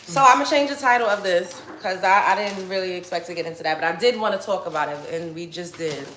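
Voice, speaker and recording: Bright-voiced New York woman hosting. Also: fairly low pitch, fast talker, echoey room, slight background noise. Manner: reads out viewer comments. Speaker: livestream host. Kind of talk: casual livestream chat.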